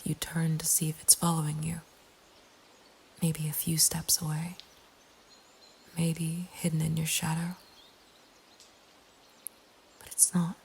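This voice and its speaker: A woman narrating a scary story in a breathy, hushed ASMR whisper, slow and deliberate. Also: low-pitched. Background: nature ambience.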